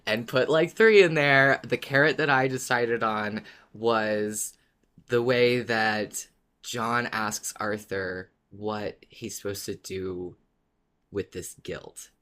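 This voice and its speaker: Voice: medium pitch, fairly even intonation, takes it slowly, fairly bright tone. A podcast co-host talking casually about a story in an effusive, heartfelt way. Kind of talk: casual fan discussion of a story.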